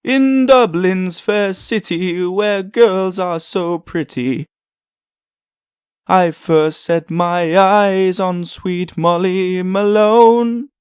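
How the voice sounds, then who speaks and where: A woman's voice: on the low side, very slow, a little mellow, clean tone, dry recording, clean studio sound. A woman performing as a figure who sings an old folk ballad in a comic dub. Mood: lilting.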